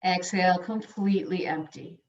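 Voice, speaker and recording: A woman yoga instructor leading slow guided breathing and meditation in soothing, unhurried short phrases with long pauses. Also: lowish voice, vocal fry. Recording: dull, echoey room, distant mic.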